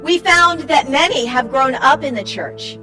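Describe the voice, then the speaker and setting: A woman reading a scripted church summary in a measured way, as a narrator. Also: sits high, expressive, low-quality recording. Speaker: narrator, church project team member. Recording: music playing behind the voice. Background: music.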